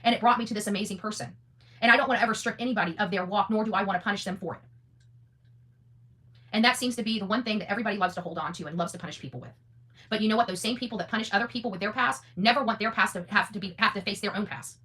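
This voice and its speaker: A woman's voice: mid-range voice, very fast, sharp tone. A woman hosting an unscripted, opinionated monologue, blunt and defiant, often repeating phrases for emphasis and swearing freely. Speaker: online content creator and host.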